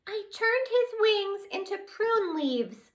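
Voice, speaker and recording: A woman reading aloud, voicing a tiny, tearful female fairy with a high-pitched voice that sobs between words. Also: big pitch swings, slow, full character voice. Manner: weepy, sobbing between words.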